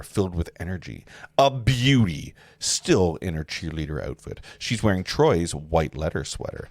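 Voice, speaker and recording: A man's voice: low-pitched, dry recording. A man reading stage directions at a live table read, informal and joking, sometimes stumbling over his lines. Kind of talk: live script reading with casual asides.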